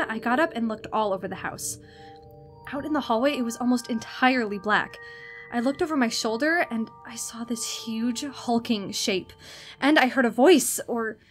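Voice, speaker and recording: A woman's voice: fairly high pitch, close to an everyday voice. An actress playing a frightened, insistent young woman haunted by shadows in a radio drama.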